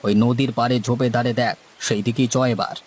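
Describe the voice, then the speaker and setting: A man's voice: medium pitch, fairly even intonation, fast talker, noisy background, close to an everyday voice. A man playing a seasoned village fisherman in a cartoon story, instructing in colloquial rural speech with a cautious, fatalistic manner. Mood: purposeful. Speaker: cartoon voice actor. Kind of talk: dramatised Bengali cartoon story dialogue.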